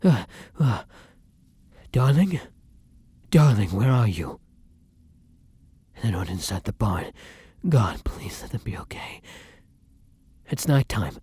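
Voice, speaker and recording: Man as a very breathy, tender vampire lover. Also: low voice, lively intonation, a little slow, no echo, exaggerated voice. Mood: alarmed. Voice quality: breathy, often dropping into whispers. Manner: gentle, courtly, earnest endearments. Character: tender, weary male vampire lover on the run. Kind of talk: intimate ASMR romance roleplay.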